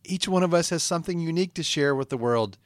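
Man playing a deadpan, exasperated damned podcast host. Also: fairly high pitch, fast talker, dry recording, close to an everyday voice. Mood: earnest, lofty. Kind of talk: scripted comedy podcast.

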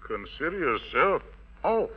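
A man with a high-pitched voice acts as master of ceremonies on a wartime-era radio variety show. He announces in ornate, slangy showman's patter. The sound is dull and lo-fi, like an old broadcast. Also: very animated, a little slow, slightly rough. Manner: florid jive-talk showmanship.

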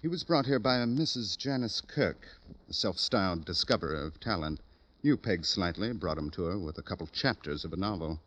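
Casting: self-important male publisher, wordy and fussy, deep register, dull old radio-serial sound. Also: lively intonation, fairly quick, fairly bright tone. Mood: confiding, pompous. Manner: pompous, talkative. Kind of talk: 1950s radio drama acting.

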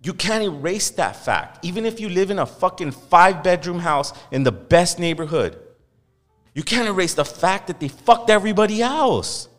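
A man's voice: high voice, expressive, normal speed, fairly bright tone. A man hosting a podcast in heated, casual talk, impassioned and profane. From Los Angeles. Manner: impassioned, profane, mocks others in quoted voices. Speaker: podcast host. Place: podcast studio. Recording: long hall reverb, faint music.

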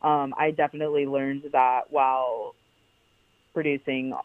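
A woman's voice: extremely low pitch, flat delivery, a little slow, no echo. A young woman with a powerful voice, chatting casually as a podcast guest with lots of "like" fillers. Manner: chatty, many "like" fillers, emphatic. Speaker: guest, founder of a clothing brand. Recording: tinny phone-like line, dry.

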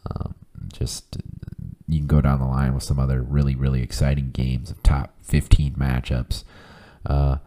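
Hoarse, very deep-voiced man. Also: monotone, mellow. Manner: halting, many ums and uhs, reads off lists of stats. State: hoarse, recovering from flu. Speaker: podcast host. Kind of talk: casual solo podcast talk.

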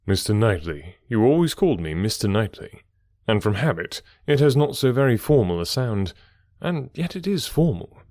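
Earnest lecturing gentleman, as a guy reads him, deep and steady, dry close sound. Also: normal speed, dry recording. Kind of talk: audiobook dialogue reading.

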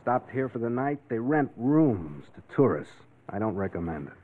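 Casting: a cool young reporter, wry, vintage crime radio, muffled narrow-band recording. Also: male voice, mid-range voice, sing-song, moderate pace. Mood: wry, unruffled. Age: 20s. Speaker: actor in a crime radio drama. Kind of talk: classic radio drama acting.

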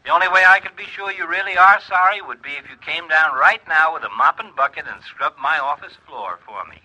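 A man's voice: on the high side, fairly quick, sharp tone. An actor playing a smug, teasing doctor who needles his friend with insults, in an old-time radio comedy on a dull vintage recording. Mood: smug, needling. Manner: mocking, needling, chuckling insults.

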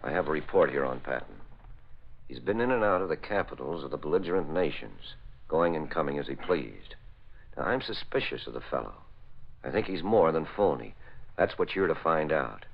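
An actor playing a suspicious, authoritative federal bureau chief, brisk and no-nonsense, in 1940s radio drama style. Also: male voice, extremely low pitch, animated, creaky, breathy edge, exaggerated voice. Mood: businesslike. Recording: dull old radio recording.

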